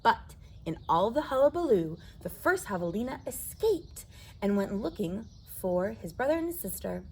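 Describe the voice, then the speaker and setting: A woman's voice: mid-range voice, very animated, moderate pace, slightly rough. An American woman telling a children's story aloud, expressively and addressing her young listeners directly. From southwestern United States desert.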